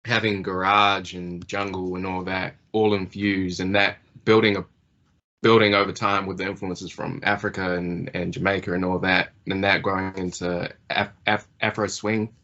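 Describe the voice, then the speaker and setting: Deep, bright-toned man, winding question. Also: level tone, moderate pace, dull audio. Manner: long winding question with hesitations. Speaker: interviewer. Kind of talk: conversational interview question.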